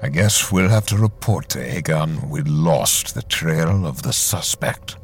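A man playing a weary, deadpan detective in a noir audio drama. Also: a bass voice, a little slow. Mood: weary, dry.

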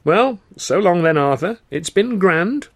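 A man's voice: high-pitched, animated, a little slow, gravelly, voice pushed up, exaggerated voice. A man voicing a cocky, mocking young music-hall rival in a story, with a smug, lilting delivery. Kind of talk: audiobook character voice.

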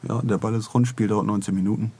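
A man's voice: on the low side, steady tone, fast talker, mellow, slightly rough. A man co-hosting a podcast in casual, joking conversation. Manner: joking, bantering. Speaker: podcast co-host.

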